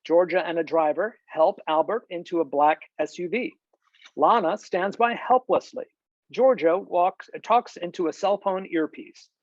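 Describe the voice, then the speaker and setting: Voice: mid-range voice, a little slow, no room sound, dull audio. A narrator reading screenplay stage directions for a table read over a video call.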